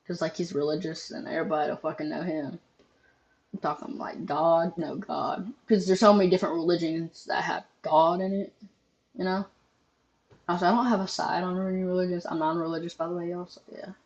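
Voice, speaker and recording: A young adult host chats loosely over a video, rambling, filling gaps with ums and tossing in casual swears. The voice sits in a middle pitch. The recording sounds dull and lo-fi. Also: flat delivery, brisk. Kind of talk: casual reaction commentary.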